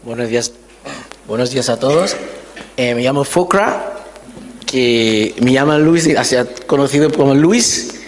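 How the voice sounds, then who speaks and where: Man, 40s, from Liberia, church guest; mid-pitched, hesitant and loose, heard with a long hall echo. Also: a little hiss or hum. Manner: halting and informal, many restarts and fillers. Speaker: guest giving testimony. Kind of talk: interview.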